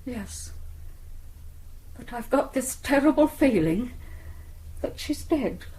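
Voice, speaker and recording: A woman's voice: high-pitched, animated, slow, mellow, slight background noise, rough recording. An actress playing an anxious, distraught middle-aged British mother in a radio drama, pleading and hesitant but insistent. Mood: fearful, doubting. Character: anxious, distraught mother of a missing grown daughter.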